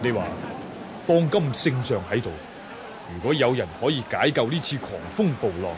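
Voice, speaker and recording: An actor playing a formal, commanding Chinese emperor in a Hong Kong Cantonese radio drama. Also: male voice, mid-range voice, animated, normal speed, crowd noise, close to an everyday voice. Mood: imperious. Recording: radio drama with noisy outdoor ambience.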